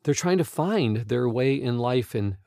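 A man hosting a devotional talk, retelling stories and quoting scripture in an earnest, emphatic, deliberate way. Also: mid-range voice, animated, creaky, mellow, no room sound, clean studio sound. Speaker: podcast host and Bible teacher.

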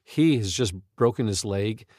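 A man hosting a devotional talk, retelling stories and quoting scripture in an earnest, emphatic, deliberate way. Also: fairly low pitch, animated, a little mellow, no echo, studio quality. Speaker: podcast host and Bible teacher.

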